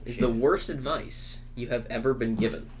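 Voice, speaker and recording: A man's voice: mid-range voice, moderate pace, noisy background. A young man co-hosting a casual podcast chat, dry and easygoing, telling stories. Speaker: podcast co-host.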